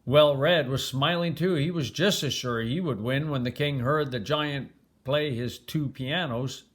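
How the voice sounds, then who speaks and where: A man's voice: medium pitch, a little slow, a bit bright. An older man reading a children's storybook aloud as a grandfather would, with expression.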